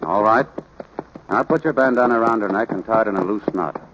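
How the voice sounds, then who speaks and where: A man's voice: on the low side, brisk, background noise, lightly acted. A man playing a firm, plainspoken rancher father in a 1940s radio drama, terse and matter-of-fact. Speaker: actor in radio play.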